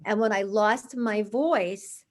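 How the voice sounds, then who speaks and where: A woman in her sixties, a charity founder, telling her story as a guest in a conversational interview, with lively restarts and asides. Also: mid-range voice, slow pace.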